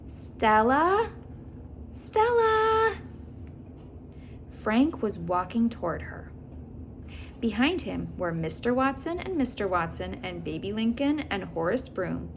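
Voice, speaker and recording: A woman reading a children's story aloud in an expressive, animated storytelling manner. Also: medium pitch, measured pace, a little hiss or hum. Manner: animated, acts out dialogue and animal sounds. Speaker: children's book reader. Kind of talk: expressive read-aloud storytelling with casual asides.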